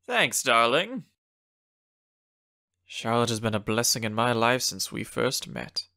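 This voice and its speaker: A suave gentleman hunter, acted by a man, with a creaky, gravelly edge and swooping intonation. He is genteel and theatrical, courteous with a calm menace underneath, in the manner of a dramatic comic dub. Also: mid-range voice, takes it slowly, exaggerated voice. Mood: fond, wry. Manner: genteel, theatrical, old-fashioned endearments.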